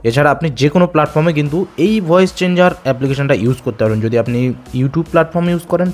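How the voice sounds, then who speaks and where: Casting: male tech tutorial host, quick pace, slightly high voice, music underneath. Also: darkish tone. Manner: casual, explanatory. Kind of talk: instructional voice-over.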